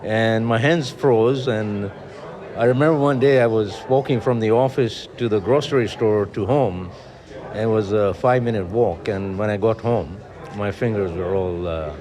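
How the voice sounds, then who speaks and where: Man in his 70s from tanzania, lowish voice, jokey storyteller, crowd noise behind. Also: moderate pace. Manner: chatty, jokey, laughs at himself. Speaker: accountant. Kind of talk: casual anecdotes. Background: crowd chatter.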